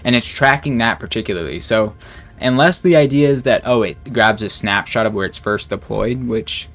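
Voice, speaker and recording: A man commenting casually on game patch notes, reading each note slowly and then reacting in a rambling way, with lots of filler words and swearing. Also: on the low side, a bit bright, faint music behind. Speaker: gaming streamer.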